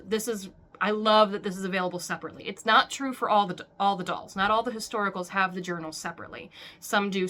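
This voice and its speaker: A woman chatting casually as a doll collector vlogger, rambling with restarts, her throat still hoarse and her nose sniffly from being sick. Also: medium pitch, pushed and bright. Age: adult. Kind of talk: casual chat to camera. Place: warm room at home with a ceiling fan.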